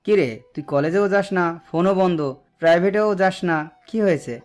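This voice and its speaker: A man's voice: high-pitched, normal speed, natural voice. A man playing a concerned, nagging young college friend in an audio love story. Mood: concerned, casual. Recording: music bed under the voice.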